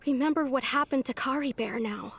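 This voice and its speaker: A woman's voice: sits high, a little fast, creaky, fairly bright tone, slightly rough, exaggerated voice. A woman voicing a friendly young female video game character who speaks politely and conversationally. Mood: friendly, earnest. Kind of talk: voiced game dialogue.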